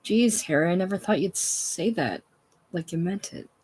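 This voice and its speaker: Soft, hurt young saloon girl, read by a narrator. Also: medium pitch, normal speed, natural voice, rough recording.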